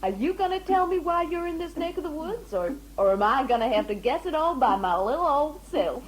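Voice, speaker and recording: High, gushing Southern belle. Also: female voice, sing-song, a little fast. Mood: sugary, coy. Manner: gushing, coy, sugary. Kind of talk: fan audio sci-fi drama acting. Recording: noisy amateur audio-drama tape.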